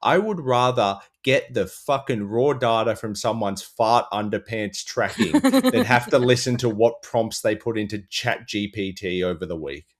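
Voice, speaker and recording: An Australian man speaks in a fairly low voice as a panellist on a comedy show. He riffs in long run-on sentences, exasperated and happy to swear. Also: normal speed, few pauses.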